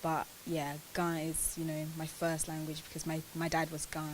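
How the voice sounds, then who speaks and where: A woman's voice: sits low, completely flat delivery, moderate pace. A woman in her twenties from Ghana, chatting casually as she answers questions as an interview guest. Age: 20s. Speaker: interview guest, pageant winner. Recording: clearly hissy, noisy sound.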